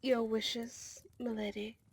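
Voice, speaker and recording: A woman acts out a loyal lady's maid in a fantasy ASMR roleplay. Her voice is slightly high and husky, and she speaks slowly. She is formal and deferential, always protective of her royal mistress. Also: level tone. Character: dutiful, protective female servant of a vampire princess. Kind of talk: scripted ASMR roleplay acting.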